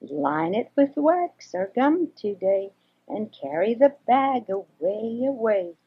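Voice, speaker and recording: A woman's voice: fairly high pitch, sing-song, takes it slowly, husky, exaggerated voice. An older woman voicing little storybook animals that chant rhyming advice in a singsong way. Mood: singsong, advising. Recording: dull homemade 1980s cassette recording.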